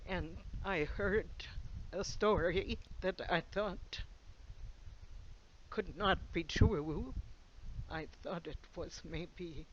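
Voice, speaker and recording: A man slowly recalling a personal memory in a halting way, with pauses and fillers. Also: high voice. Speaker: interviewee recalling the day. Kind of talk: personal recollection. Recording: muffled lo-fi sound with faint noise.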